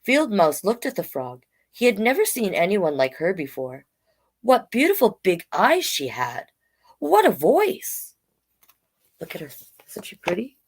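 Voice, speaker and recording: A woman's voice: medium pitch, wildly swooping pitch, a little slow, husky. A woman hosting a storytime, reading picture books aloud in an informal way with chatty asides. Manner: informal, interjects comments while reading. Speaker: drag king storyteller, host.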